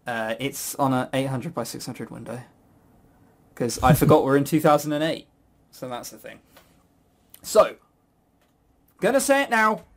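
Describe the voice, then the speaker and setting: A man's voice: mid-range voice, lively intonation, a little slow, pauses often. A man hosting a casual chat about games, with a sarcastic, mock-grumbling manner. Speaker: podcast host. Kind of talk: casual chat reviewing visual novels.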